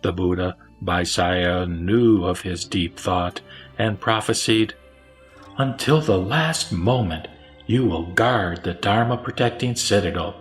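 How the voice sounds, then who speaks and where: A man reciting scripture as a scripted narration, with measured, solemn phrasing. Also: sits low. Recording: roomy echo, music underneath. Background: background music.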